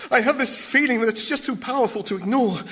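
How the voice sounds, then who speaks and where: An actor playing a needy, nervous demon underling in a radio sitcom, stammering, flustered and fawning. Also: male voice, very high voice, fast talker, a bit bright, breathy edge, heavily acted. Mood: pleading.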